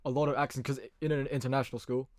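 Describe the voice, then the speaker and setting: A young man with an American-leaning international school accent talks as a podcast guest. He hesitates and restarts his sentences often. His voice sits at a middle pitch, and it is recorded dry and close. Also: flat delivery, rapid-fire, no room sound. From Hong Kong. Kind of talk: casual chat.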